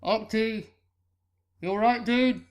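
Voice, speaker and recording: A woman voicing a cocky, laid-back teenage colt who talks loudly and casually, in a fan audio reading. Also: a little high, takes it slowly, slight background noise. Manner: loud, casual, says "dude".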